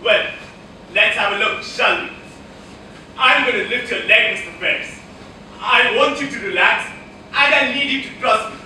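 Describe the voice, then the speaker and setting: A man's voice: very high voice, normal speed, pauses often, pushed and bright, full character voice. A young man acting a genial, theatrical doctor with jovial patter in an amateur stage comedy. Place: college auditorium stage, recorded from afar. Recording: echoey auditorium, distant mic, noisy.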